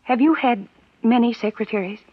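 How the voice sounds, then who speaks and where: An actress plays an anxious young woman in an old radio thriller. Her voice is fairly high and husky, with an intense, earnest delivery. The sound is dry and dull, like a vintage broadcast. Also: normal speed, dry recording, lightly acted. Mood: accusing, insistent. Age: young adult. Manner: intense, pleading, repeats phrases urgently. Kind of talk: 1940s radio drama acting. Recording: dry, band-limited 1940s radio recording.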